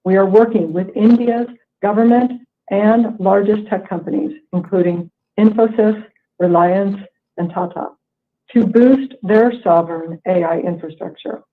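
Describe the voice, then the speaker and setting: A woman executive delivers scripted earnings remarks in a formal, measured manner over a conference call line. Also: medium pitch. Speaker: company executive presenting quarterly earnings. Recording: tinny, narrow phone-line conference call.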